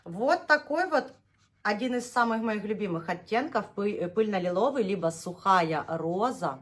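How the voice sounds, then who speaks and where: A young woman talks through a yarn unboxing for her knitting channel in a relaxed, chatty way. Her voice sits at an ordinary middle pitch. She gushes, laughs easily and stretches out her words as she lingers over each shade. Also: moderate pace. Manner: chatty and gushing, laughs often, drawls when naming shades. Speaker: knitting vlogger showing yarn. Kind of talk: casual unboxing chat.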